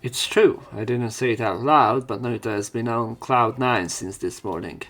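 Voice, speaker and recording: A man's voice: mid-range voice, normal speed, fairly bright tone. A man reading visual novel text aloud as a let's play narrator, with casual, irreverent and sometimes sweary commentary. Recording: game music behind the voice.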